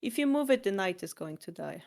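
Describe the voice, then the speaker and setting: A woman's voice: medium pitch, animated, fairly quick, vocal fry, darkish tone. Polish-accented woman teaching patiently. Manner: patient, explanatory, slightly non-native phrasing. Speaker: shogi teacher.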